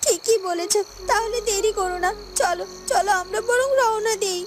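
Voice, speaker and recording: A woman's voice: very high voice, moderate pace, a little hiss or hum. A woman voicing an anxious, devoted poor little girl in a cartoon, pleading in a whiny way. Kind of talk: Bengali cartoon voice acting.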